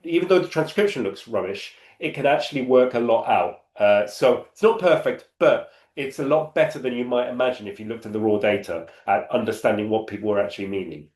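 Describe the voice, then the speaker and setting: A man's voice: medium pitch, brisk, few pauses, a little mellow, echoey room. A man answering audience questions on a panel, explaining at length and often restarting his phrases. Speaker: panel speaker. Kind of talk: conversational Q&A answer.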